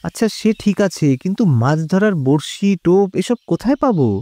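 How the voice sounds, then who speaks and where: A man's voice: high-pitched, animated, fairly quick, mellow, no room sound. A man playing a storyteller who relives himself as an eager, cautious boy, in theatrical, dramatized narration. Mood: doubtful. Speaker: storyteller recounting his own boyhood.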